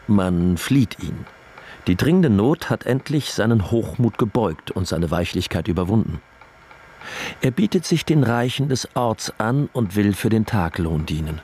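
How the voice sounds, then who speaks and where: A man narrating a literary radio play in a grave, measured, formal manner, with a husky voice. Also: lowish voice, big pitch swings, dark tone, slight background noise. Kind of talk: scripted literary narration, dramatic.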